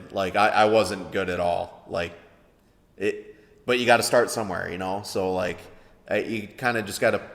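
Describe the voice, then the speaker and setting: Guy in his 30s, deep-ish baritone, kinda halting with lots of ums, big roomy echo. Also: a bit bright. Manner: hesitant, many fillers and restarts. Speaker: interview guest, veteran songwriter. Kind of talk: casual interview answers. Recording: echoey room with long reverb.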